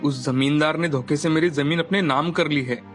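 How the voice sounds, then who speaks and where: A man's voice: on the high side, moderate pace, faint music behind, lightly acted. An actor playing a humble, earnest man in a moral story, speaking politely and pleadingly. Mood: troubled, pleading. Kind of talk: Hindi moral-story voice acting.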